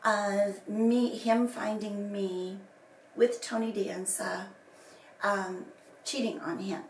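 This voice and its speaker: Hoarse, breathy woman, slow and rambling, recorded far off in a roomy space. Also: medium pitch, steady tone, a little hiss or hum. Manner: rambling, many fillers and restarts. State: recovering from lost voice, hoarse and whispery. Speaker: person giving a personal account. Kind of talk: casual personal statement to camera. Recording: echoey room from a distance, faint ambience.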